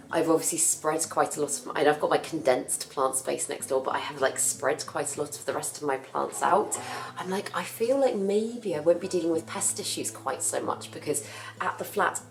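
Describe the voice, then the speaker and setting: Low croaky girl chatting away about her plants, kinda gravelly, keeps correcting herself. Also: low-quality recording. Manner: chatty, rambling, self-correcting. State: husky from a cough. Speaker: plant care vlogger. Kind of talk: casual chat while working.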